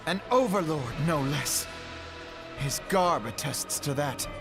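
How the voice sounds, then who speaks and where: An actor playing a solemn, resolute young male scholar-leader in a game cutscene, speaking in a formal, measured way. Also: on the high side, expressive. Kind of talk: voiced video game cutscene. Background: game music.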